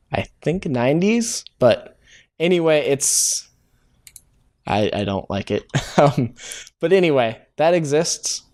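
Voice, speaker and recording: A man's voice: medium pitch, darkish tone. A man hosting a programming tutorial, talking casually as he codes, thinking aloud, hesitating and correcting himself with many filler sounds. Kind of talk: casual live-coding walkthrough.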